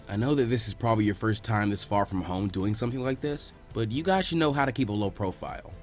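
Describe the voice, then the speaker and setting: A man plays a calm young male ninja in a read-aloud story. He speaks low and smoothly, placating with a smug, condescending undertone. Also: fast talker, faint music behind, close to an everyday voice.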